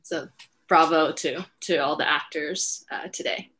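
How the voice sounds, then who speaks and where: A woman's voice: deep voice, fairly even intonation, unhurried, pushed and bright. A middle-aged woman speaking casually and unscripted as a writer-director hosting a question and answer session on a video call. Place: indoor room at home, on a video call. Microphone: headset on a video call.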